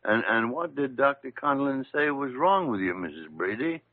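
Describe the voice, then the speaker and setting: An actor playing a gruff elderly senior doctor, with halting 1940s radio acting. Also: male voice, medium pitch, animated, no room sound, lightly acted. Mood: questioning. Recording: lo-fi old radio recording.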